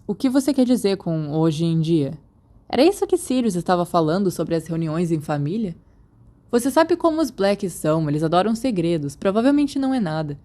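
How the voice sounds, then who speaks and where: A woman's voice: lowish voice, dark tone. A woman with a Brazilian accent giving a scripted audiobook-style narration of fan fiction. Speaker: podfic narrator.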